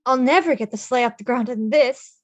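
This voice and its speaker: A woman's voice: a little high, a little fast, slight background noise, natural voice. A woman playing Jack, a theatrical skeleton man who fancies himself Santa, declaiming melodramatically at a script table read. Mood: dejected, mournful.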